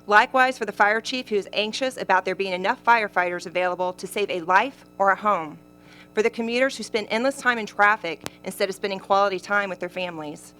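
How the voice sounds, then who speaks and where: A woman with a Tennessee accent, a county commission candidate giving a prepared campaign statement in an earnest, measured way. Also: medium pitch, a bit bright. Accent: Southern US, Tennessee. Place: county government meeting room.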